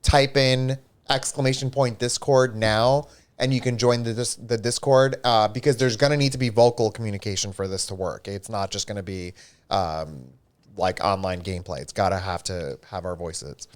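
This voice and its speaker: Chatty guy cohosting a stream, smooth clear voice, says like a lot. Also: fairly low pitch, steady tone, moderate pace. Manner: chatty, filler-heavy, upbeat. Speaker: livestream co-host. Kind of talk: casual livestream chat.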